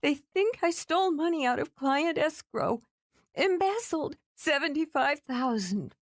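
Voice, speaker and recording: A woman reading aloud, voicing a distraught young woman who speaks in a high, shaky, halting voice through tears. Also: animated, no echo, clean studio sound.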